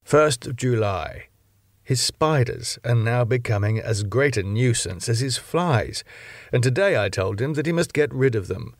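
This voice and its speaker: Creaky-voiced male audiobook narrator. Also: mid-range voice, animated, measured pace, no room sound, clean studio sound. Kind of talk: dramatic literary narration.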